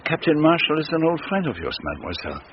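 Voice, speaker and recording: An actor in a radio drama playing a shrewd, courteous detective with a precise, theatrical manner. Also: male voice, on the high side, big pitch swings, fast. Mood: shrewd, teasing. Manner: precise, theatrical, self-assured. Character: shrewd, courteous foreign male detective, probing gently. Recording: dull radio recording, faint noise.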